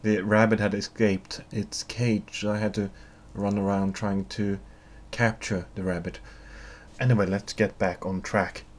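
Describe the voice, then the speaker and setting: A man's voice: on the low side, level tone, unhurried. A man with a Swedish accent answering viewer questions alone in a dry, wry, wordy monologue. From Sweden. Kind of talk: solo Q&A monologue.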